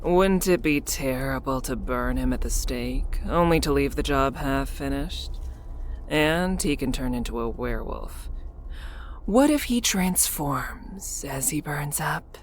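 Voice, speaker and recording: An actor playing a cold, mocking town official who hunts a werewolf in a dramatic visual novel, sardonic and taunting, over music. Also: male voice, mid-range voice, lively intonation, slow pace, slight background noise. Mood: mocking, menacing. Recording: music under the voice.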